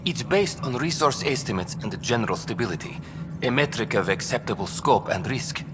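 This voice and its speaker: An actor playing a composed program official who explains things in a measured, bureaucratic way in a video game. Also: male voice, medium pitch, animated, close to an everyday voice. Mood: measured, explanatory. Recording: long hall reverb, music behind.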